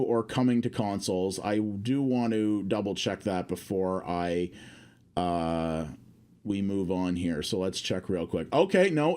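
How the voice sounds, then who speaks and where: A man's voice: medium pitch, a little mellow. A man casually thinking aloud as he streams his first look at a game, chatting through the menus and settings. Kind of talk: casual live game first-impressions commentary.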